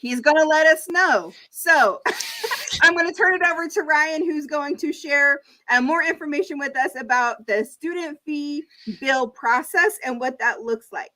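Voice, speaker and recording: A woman's voice: high voice, unhurried, sharp tone, rough recording. A woman moderating an online information session, reading out audience questions with frequent ums. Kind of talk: webinar moderation.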